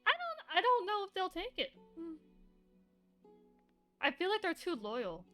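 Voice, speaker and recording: High-voiced woman streamer, blunt and sweary. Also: wildly swooping pitch, unhurried, fairly bright tone. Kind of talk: casual live game commentary. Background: faint game music.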